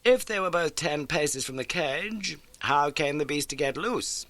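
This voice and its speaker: A man voicing a brisk, keen, masterful male detective in an audiobook, with emphatic, animated and incisive delivery. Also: on the high side, no room sound, pitched above the performer's own voice. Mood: incisive, wry. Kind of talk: audiobook character dialogue.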